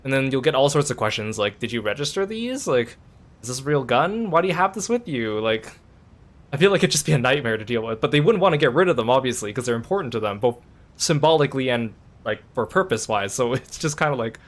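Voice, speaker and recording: A man's voice: mid-range voice, expressive, quick pace. A man giving casual, rambling let's play commentary on a game, in a conversational way. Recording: game music behind voice. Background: video game music and dialogue.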